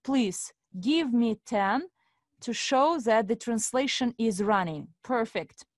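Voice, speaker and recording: A woman's voice: a little high, lively intonation, slow pace, dry recording, clean studio sound. Woman hosting a webinar. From Estonia. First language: Russian. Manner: formal, addresses audience as dear friends. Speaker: webinar host. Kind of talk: live online webinar presentation.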